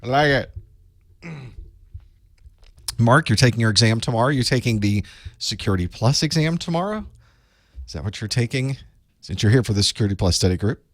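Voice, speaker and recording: A man from the United States with a chest cold that makes his voice a bit raspy, chatting casually with viewers as the host of a live study group. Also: medium pitch, lively intonation, a little fast, long pauses, no echo, studio quality. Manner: chatty, rambling, self-deprecating asides. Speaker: online course instructor hosting live study group. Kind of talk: casual live-stream chat.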